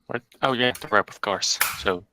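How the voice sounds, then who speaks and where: A man's voice: lowish voice, moderate pace, crowd noise. A man playing a tabletop game, curious and polite, talking in and out of character. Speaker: player. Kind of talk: casual tabletop roleplay.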